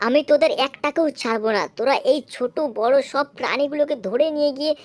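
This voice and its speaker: A woman's voice: high voice, quick pace, no echo, lightly acted. A woman voicing a brave, vengeful young female army officer who protects others in a dramatic cartoon dub. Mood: outraged.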